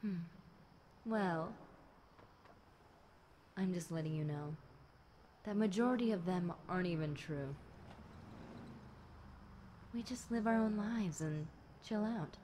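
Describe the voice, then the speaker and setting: Slow-talking, teasing demon girl, acted by a woman. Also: lowish voice, warm tone. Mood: laid-back. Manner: casual, teasing, conversational with light chuckles. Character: bored, chatty centuries-old demon girl, teasing and smug. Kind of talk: audio roleplay addressed to the listener. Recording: clear background noise.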